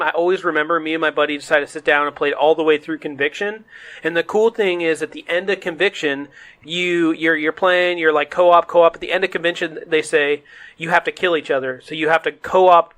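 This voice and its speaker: Bright, slightly high-voiced man chatting casually. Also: a little fast. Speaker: podcast co-host. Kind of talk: casual chat.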